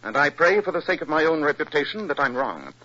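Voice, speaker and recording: An actor playing a brisk, authoritative British gentleman detective with a cool, commanding manner in a 1940s radio drama. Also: a little high, sing-song, exaggerated voice. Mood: analytical, pensive. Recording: muffled, hissy old radio recording.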